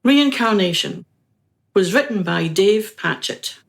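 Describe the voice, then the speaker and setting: A woman's voice: medium pitch, animated, slow pace, vocal fry. A woman giving a scripted reading of programme credits. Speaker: credits reader.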